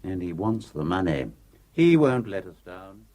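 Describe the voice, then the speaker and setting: An actor playing a calm, assured ringleader of a criminal plot. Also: male voice, low-pitched, unhurried, noisy background. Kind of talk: 1970s radio drama acting.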